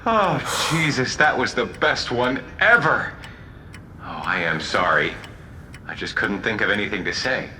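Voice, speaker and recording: An actor playing a mocking, menacing male prisoner in a radio drama, theatrical and softly condescending. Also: on the low side, animated, unhurried, slight background noise, heavily acted. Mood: mocking, playful.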